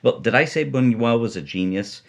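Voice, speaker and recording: A man co-narrating a story in an animated, emphatic, playful scripted style. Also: mid-range voice, moderate pace, gravelly. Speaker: podcast co-storyteller.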